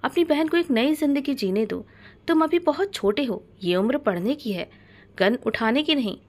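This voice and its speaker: A woman's voice: sits high, animated, normal speed, pitched above the performer's own voice. Woman reading as an outspoken young heroine. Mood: admonishing. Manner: pleading, admonishing. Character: outspoken, sympathetic young woman who stands up for others.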